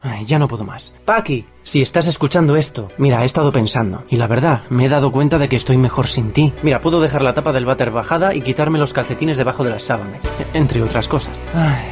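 A man's voice: mid-range voice, quick pace, faint music behind, close to an everyday voice. An actor playing a weary, petty jilted man in a radio comedy monologue, sighing and rambling. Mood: fed up.

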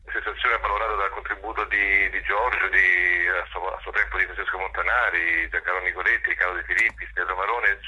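A low-voiced man with a very bright, forceful edge and some rasp. He answers as an interview guest in an emphatic, intellectual manner, halting and rephrasing as he goes. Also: rough recording. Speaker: theatre director, interview guest. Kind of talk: spontaneous spoken interview by phone.

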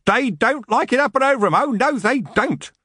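A man voicing feisty old war veterans in a storybook, yelling with glee. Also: extremely high pitch, animated, normal speed, breathy edge, dry recording, studio quality, voice pushed up, heavily acted. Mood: gleeful. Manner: yelling, gleeful.

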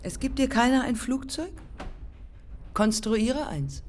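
An actress playing a bitter, haunted pioneer woman pilot in a poetic radio play, with deliberate, fragmentary phrasing. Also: on the high side, big pitch swings, a little hiss or hum. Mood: terse, sombre. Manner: deliberate, fragmentary, incantatory phrasing with repeated lines.

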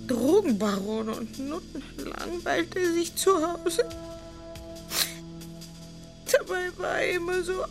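A distraught wife in a radio drama, bitter and suspicious. Her delivery is slow and halting, yet swings widely in pitch as the agitation shows. Music sits behind her voice. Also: full character voice. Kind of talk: German radio drama monologue.

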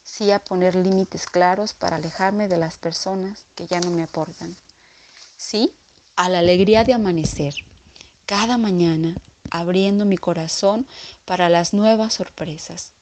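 A woman reciting reflective personal affirmations in a deliberate, declarative way. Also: on the low side, a little mellow.

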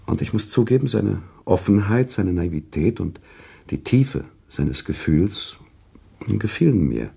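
An actor playing an earnest, sentimental author who tells a story in a radio play, with deliberate, expressive literary delivery. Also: male voice, on the low side, creaky, husky, full character voice. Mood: reflective. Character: male author narrator recounting a sad love story, earnest and sentimental. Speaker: narrator.

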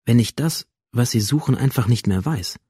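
A man's voice: mid-range voice, animated, normal speed, mellow, breathy edge, no echo, studio quality. A man playing a cynical, defiant young school shooter in a radio drama, sardonic, coarse and introspective. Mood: agitated, challenging. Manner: sardonic, coarse, introspective first-person narrating. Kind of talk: modern radio drama acting.

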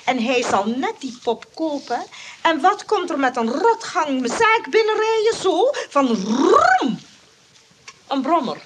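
Through heavy noise on an old radio play comes a fussy, aggrieved woman shopkeeper. She chatters and hesitates in a fairly high voice, always looking for agreement. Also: very animated, measured pace. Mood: aggrieved, chatty. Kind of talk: radio comedy drama acting.

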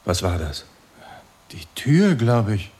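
An actor playing an anxious, agitated husband in a German radio drama, restless and fretful about a troubled friend. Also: male voice, fairly low pitch, sing-song, takes it slowly, a little mellow, breathy edge, heavily acted.

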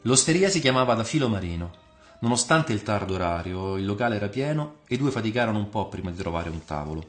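Man, low voice, radio storyteller, scripted literary narration, echoing sound, faint musical backing. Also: lively intonation, quick pace. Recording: reverberant voice over music. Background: soft music.

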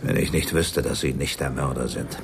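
An actor playing a shrewd police inspector, genial but probing, in a radio crime drama with some noise behind. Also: male voice, very deep voice, fairly even intonation, moderate pace, a crowd in the background. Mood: probing, confident. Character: shrewd, jovial male police inspector, mock-stern.

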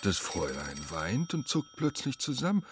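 A man's voice: fairly low pitch, wildly swooping pitch, moderate pace, noisy background. A man delivering scripted dramatic narration in a radio play.

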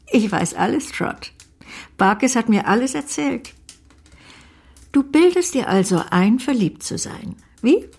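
An actress playing a blunt but loving older great-aunt in a German radio drama, dry and emphatic in a theatrical period style. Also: female voice, fairly low pitch, creaky, a little mellow, slightly rough, full character voice. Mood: dry, probing. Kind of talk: German radio play acting of a period novel.

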